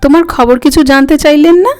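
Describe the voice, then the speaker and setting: A woman's voice: sits high, fairly quick, darkish tone, pitched above the performer's own voice, lightly acted. A woman voicing a curious, teasing young granddaughter in a dramatic story reading. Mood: impressed, teasing. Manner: teasing, inquisitive. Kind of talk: dramatic audiobook character reading. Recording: music under the voice.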